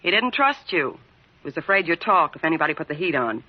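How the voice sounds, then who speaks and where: An actress playing a tough, scheming racketeer with a brash, taunting, hard-boiled manner in an old-time radio crime drama. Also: female voice, low voice, sing-song, quick pace. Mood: scornful, sharp. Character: tough, scheming female racketeer, slangy and cool. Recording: dull, muffled old radio recording.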